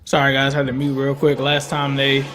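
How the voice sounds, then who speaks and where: A man's voice: medium pitch, monotone, normal speed. A man hosting a fan live stream, giving casual play-by-play commentary and talking conversationally to his viewers. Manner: conversational, addresses viewers and chat.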